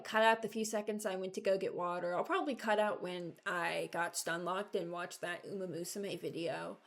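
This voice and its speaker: A woman in her early twenties giving casual, unscripted commentary as a gaming video creator, rambling and thinking aloud. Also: lowish voice.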